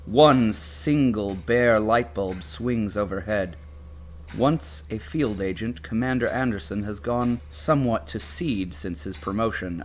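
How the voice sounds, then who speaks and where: A man playing a radio drama narrator, reading scripted scene-setting in a deliberate, dryly humorous, theatrical way. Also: on the low side, close to an everyday voice. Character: wry, grandly somber male radio drama narrator.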